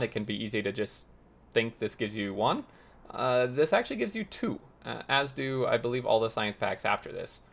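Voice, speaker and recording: A man hosting a gaming tutorial, slightly raspy as he loses his voice, explaining step by step while thinking aloud with many uhs. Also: mid-range voice. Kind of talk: explaining gameplay while playing.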